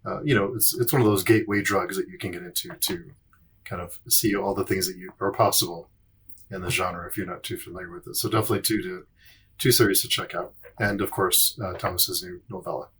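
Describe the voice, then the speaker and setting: A man co-hosting a podcast in casual chat, halting and often repeating his phrases. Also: deep voice, fairly even intonation, a bit bright, a little hiss or hum. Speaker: podcast host.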